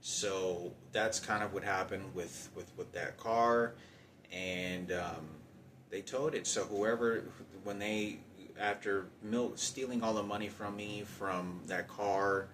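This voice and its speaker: The low-voiced man who tells you his hard-luck story unprompted, stopping and repeating himself, with faint ambient noise around him. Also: fairly even intonation. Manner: halting, repetitive, circling back over points. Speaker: storyteller recounting personal hardship. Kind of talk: unscripted personal monologue.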